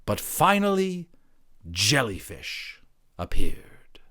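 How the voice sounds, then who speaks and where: Man voicing an indignant, high-pitched sea blob creature. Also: big pitch swings, bright, forceful tone, exaggerated voice. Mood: proud, building. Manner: haughty, pompous, slow and deliberate. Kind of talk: audiobook character voice.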